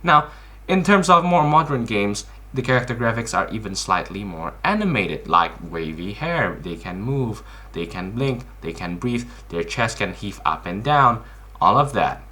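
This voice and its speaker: A man's voice: lowish voice, sing-song, normal speed, bright, forceful tone. A man giving casual explanatory commentary as a video host, in a blunt, informal, emphatic way, often asking questions and answering them himself.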